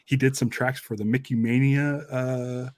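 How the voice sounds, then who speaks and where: A male podcast co-host in casual conversation. He has a medium-pitched voice and tells anecdotes with enthusiasm. The sound is dry and close, with very little room. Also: flat delivery, normal speed, a little mellow, dry recording.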